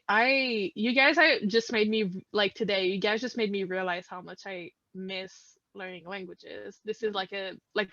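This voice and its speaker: Podcast co-host, female, twenties, non-native English, bright casual delivery, dry and slightly muffled sound. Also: mid-range voice, dry recording. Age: 20s. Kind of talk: casual chat.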